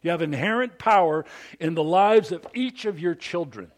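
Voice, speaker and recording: Animated preacher man with a big voice. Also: high voice, moderate pace. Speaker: pastor. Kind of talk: sermon.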